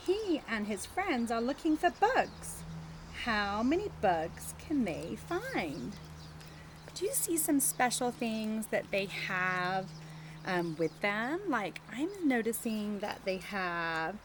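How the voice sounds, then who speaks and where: A woman teacher doing an animated read-aloud of a picture book for young children, chatting and asking them questions as she goes. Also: a little high, slow, background noise. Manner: engaging the children with questions and tag questions.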